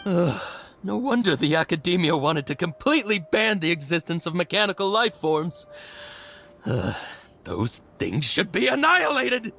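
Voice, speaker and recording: A man's voice: high voice, big pitch swings, moderate pace, vocal fry, bright, forceful tone, exaggerated voice. A man voicing a shaken young herb gatherer in a video game, stammering and jumpy with erratic laughter. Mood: paranoid. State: agitated.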